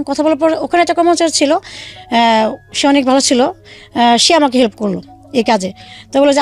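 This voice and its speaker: A young woman from Bangladesh, telling her life story to an interviewer in a halting way with many fillers. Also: high voice. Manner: halting, many fillers and restarts, re-enacts dialogue. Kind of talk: casual spoken storytelling.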